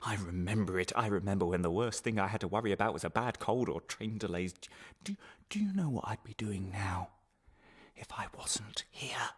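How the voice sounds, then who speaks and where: A male actor as an anguished prisoner in a radio play, his voice on the low side. He rambles haltingly, repeats himself and questions his captors, bitter and defiant under the strain. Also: expressive, slightly rough. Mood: seething, defiant. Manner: halting, repetitive, questioning, swinging into angry outbursts. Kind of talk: radio drama monologue.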